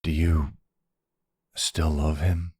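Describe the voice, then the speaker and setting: A charming, protective mobster boyfriend, acted by a man for an ASMR roleplay. His voice is extremely low and husky, tender with a dangerous edge. He takes his time with every line, recorded dry and close. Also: flat delivery, drawn-out words, darkish tone, no echo, heavily acted. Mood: tender, vulnerable. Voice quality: gravelly, husky.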